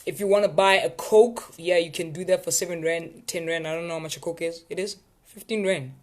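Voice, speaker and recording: A man's voice: fairly high pitch, fairly even intonation, fairly quick. Man, South African accent, live session host, casual, chatty, rambling, playful. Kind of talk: casual live chat with viewers.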